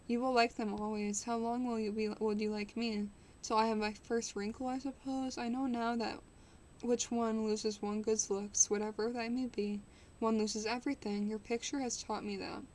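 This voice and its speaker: A woman's voice: a little high, level tone, moderate pace, a little mellow, a little hiss or hum, lightly acted. Dorian Gray, a woman reading a vain, brooding young man. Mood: bitter. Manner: reads with small stumbles and restarts. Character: young man, vain, anxious about aging.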